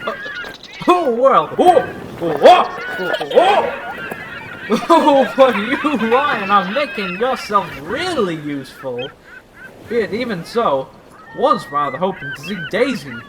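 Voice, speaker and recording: A man plays a busy, self-important railway boss full of halting bluster. The voice is high for a man and swings up and down pompously. The amateur drama track has clear background noise. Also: big pitch swings, full character voice. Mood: jolly bluster. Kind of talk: amateur audio drama acting. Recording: noisy track with background ambience.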